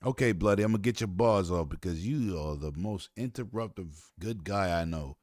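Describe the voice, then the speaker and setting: A man's voice: low voice, fairly quick, darkish tone, no room sound, studio quality. A man hosting a casual gaming panel, boisterous and slangy, often jumping in with short interjections. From Puerto Rican background. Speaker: podcast host moderating guests.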